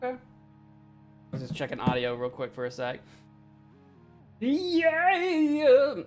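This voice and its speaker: Lively, joking woman streamer. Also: high-pitched, takes it slowly, faint music behind. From United States. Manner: chatty, joking, laughs often, thinks aloud. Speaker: game streamer. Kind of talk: casual live-stream commentary.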